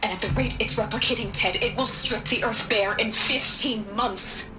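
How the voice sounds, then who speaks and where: A woman's voice: on the high side, normal speed, over music, close to an everyday voice. A woman as a blunt, uncompromising scientist. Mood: grave, urgent. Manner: blunt, firm, sardonic. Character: stern, uncompromising female scientist, blunt and cutting. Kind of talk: video game cutscene acting.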